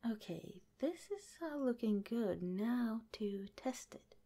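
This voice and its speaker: A woman playing a gentle whispering lab director. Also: on the high side, expressive, heavily acted. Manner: soft whispering, slow and gentle. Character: gentle caring female head scientist of secret lab. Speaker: ASMR roleplay performer. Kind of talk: ASMR roleplay.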